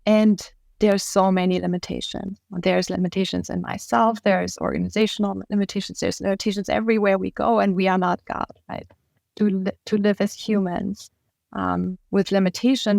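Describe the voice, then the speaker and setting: A woman whose first language is German, speaking as a podcast guest in a thoughtful, measured way, often pausing to find her words. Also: on the low side, vocal fry, a little mellow, no room sound, studio quality. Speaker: guest, trauma care facilitator trainer. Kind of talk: reflective podcast conversation.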